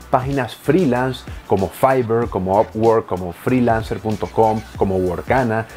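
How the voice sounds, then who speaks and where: A man in his thirties talking directly to the viewer from a home office, animated and gesturing, explaining step by step. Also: medium pitch. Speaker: online business youtuber. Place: home office with bookshelves, indoors.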